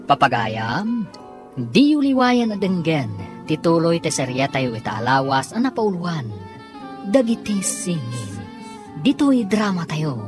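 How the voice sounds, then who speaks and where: An Ilocano Filipino woman announces a drama serial in a scripted style. Her pitch is fairly low and music plays clearly behind her. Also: sing-song, a little slow.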